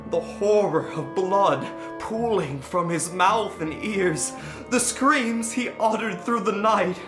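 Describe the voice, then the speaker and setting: A desperate peasant in an audio drama, pleading earnestly. Her voice is on the low side and slow, ringing in an echoing space. Music swells clearly behind her. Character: desperate, frightened villager pleading with royalty.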